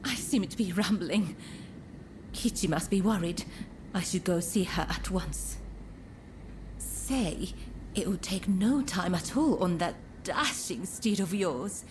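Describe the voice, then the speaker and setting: Slow-spoken, coy, elegant courtesan. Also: female voice, on the low side, animated, darkish tone, slight background noise. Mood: teasing, grateful. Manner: refined, formal, coquettish phrasing. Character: elegant, coy, teasing courtesan woman with powerful connections. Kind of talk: video game cutscene acting.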